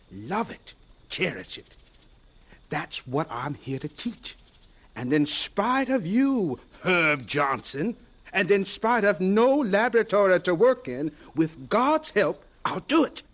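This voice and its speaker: Earnest teacher, devout, gentle, high-pitched, lively swooping intonation, audio drama. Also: male voice, exaggerated voice. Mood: earnest conviction. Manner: measured, hesitant and stammering with superiors. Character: earnest, devout, gentle agriculture teacher, eager yet deferential.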